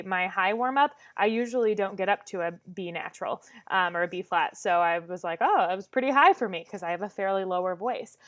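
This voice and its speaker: A woman voice teacher leading a vocal warm-up lesson, talking with many "um"s and demonstrating exercises. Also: mid-range voice.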